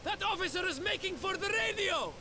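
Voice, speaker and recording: An actor playing an urgent partisan fighter in a military game, reporting tersely. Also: male voice, very high voice, expressive, fairly quick. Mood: urgent, alarmed. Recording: loud vehicle and battle noise.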